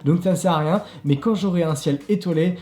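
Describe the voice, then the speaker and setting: Upbeat male vlogger, French accent, fairly high but soft voice, roomy sound. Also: a little fast. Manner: chatty, upbeat, laughs at himself. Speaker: tech reviewer, channel host. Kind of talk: casual product review vlog. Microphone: clip-on mic.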